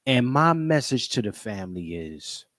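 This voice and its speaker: A man's voice: mid-range voice, very animated, moderate pace, fairly bright tone, no echo, studio quality. A man hosting a podcast livestream in a casual, emphatic way, repeating his greetings and catchphrases. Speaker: podcast host.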